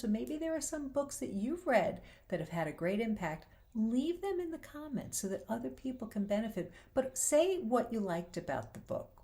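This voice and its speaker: A woman's voice: medium pitch, dark tone. A woman hosting a faith-based talk show, speaking earnestly and encouragingly, her voice a little worn. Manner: earnest and encouraging, quoting scripture. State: slightly losing her voice.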